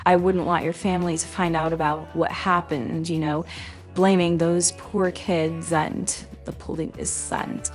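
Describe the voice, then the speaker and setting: Low-voiced scheming widow, acted by a woman. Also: little pitch movement, soft background music, lightly acted. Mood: coy, menacing. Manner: coy, smug, drawn-out mock sympathy. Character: scheming, falsely sweet gold-digging widow blackmailer. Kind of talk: dramatic roleplay voice acting.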